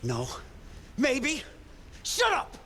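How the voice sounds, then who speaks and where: An actor playing an excitable, spiteful henchman in a video game, mocking whoever he faces. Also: very high-pitched, very slow, in a large hall, a little hiss or hum, heavily acted. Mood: defiant. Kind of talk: video game character dialogue.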